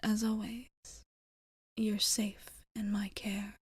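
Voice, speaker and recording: Woman as a slow, whispering, tender vampire bartender. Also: medium pitch, flat delivery. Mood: gentle, reassuring. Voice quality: soft, breathy whisper. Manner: slow, deliberate, slightly formal, affectionate. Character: tender, old-souled female vampire bartender in love with a human. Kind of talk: whispered ASMR roleplay.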